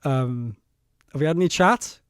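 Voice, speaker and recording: A man's voice: on the high side, moderate pace, clear, resonant tone, dry recording, clean studio sound. A man in his thirties from South Wales with a South Welsh accent, casually commenting on his own footage, nervous and self-deprecating, laughing often and swearing freely. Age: 30s.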